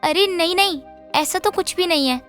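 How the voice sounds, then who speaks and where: A woman's voice: extremely high pitch, moderate pace, a bit bright, faint music behind. A woman voicing a meek, devoted young daughter-in-law in a moral story, speaking politely and deferentially. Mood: nervous, covering up. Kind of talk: Hindi moral story voice acting.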